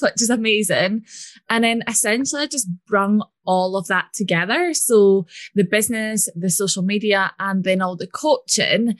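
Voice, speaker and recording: A woman's voice: mid-range voice, no echo. Scottish woman guest, mid-range voice, chatty and fluent, dry close recording, conversational podcast delivery. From Scotland. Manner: chatty, fluent, lively storytelling with fillers like "like". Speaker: guest business and money coach.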